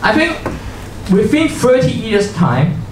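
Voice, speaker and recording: A middle-aged man giving an informal talk at a tech meetup, halting, with many restarts and fillers, chuckling at his own points, on a handheld mic before a seated audience. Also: high voice, very animated, noisy background. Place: lecture room with seated audience. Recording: roomy hall audio from far off.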